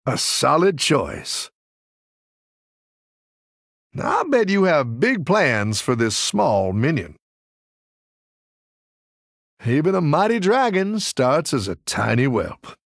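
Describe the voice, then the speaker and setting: Folksy, husky, animated tavern keeper. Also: male voice, a little high, slow pace, pauses often, dry recording, clean studio sound, heavily acted. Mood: cheerful, encouraging. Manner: warm, folksy, encouraging. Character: friendly, encouraging tavern keeper who tends little dragons. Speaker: video game character voice actor. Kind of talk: game character voice lines.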